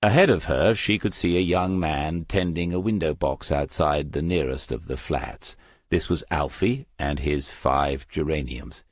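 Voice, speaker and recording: Very deep, husky male audiobook narrator. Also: unhurried, no echo, studio quality. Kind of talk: scripted audiobook narration of a crime novel.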